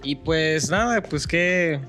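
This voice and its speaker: A man's voice: on the high side, expressive, takes it slowly, over music. A man with a Mexican accent hosting a podcast in an informal, hesitant chatty way. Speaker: podcast host. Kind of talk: casual chat.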